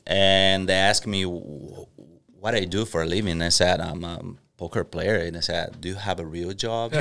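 Deep-voiced Brazilian man speaking English. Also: steady tone, vocal fry, a bit bright. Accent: Brazilian Portuguese. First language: Portuguese. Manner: halting English with fillers, laughing easily. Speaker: guest, professional poker player. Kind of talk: casual podcast interview.

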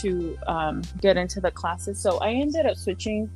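A young adult woman from San Diego, a social worker talking casually as a podcast guest, with soft laughs and many fillers. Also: mid-range voice, expressive, normal speed, soft background music, poor audio. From San Diego, California. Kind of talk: casual interview chat.

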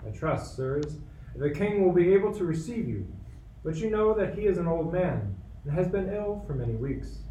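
A roomy, slightly distant radio play recording. A man acts a fussy, fawning courtier with a fairly high voice, formal and anxiously obsequious. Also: sing-song, normal speed, dark tone. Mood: polite, anxious. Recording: roomy, distant mic, faint noise.

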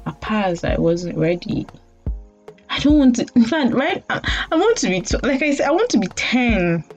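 A woman's voice: on the high side, very animated, moderate pace. A woman in her late twenties with a Nigerian accent, hosting a podcast as a rambling, self-mocking monologue broken up by frequent laughs. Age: late 20s. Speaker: podcast host. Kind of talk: casual storytelling monologue. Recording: dull lo-fi audio with faint music.

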